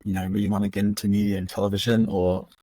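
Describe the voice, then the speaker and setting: A man hosting a podcast interview in a relaxed, encouraging manner. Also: on the low side, very fast, no room sound. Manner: relaxed, encouraging with brief acknowledgements. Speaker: podcast host.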